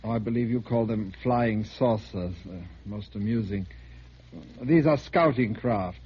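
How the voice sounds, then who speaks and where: A man playing a calm, condescending alien emissary with formal, measured speech in a 1950s American radio drama. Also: on the low side, slightly rough. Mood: calm, superior. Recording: muffled, noisy vintage 1950s radio recording.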